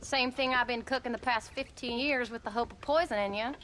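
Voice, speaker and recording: A woman playing a wry, sharp-tongued but affectionate frontier wife with an American Western accent in a video game. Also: on the high side, a little fast, slight background noise, close to an everyday voice. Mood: sarcastic. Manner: wry, teasing.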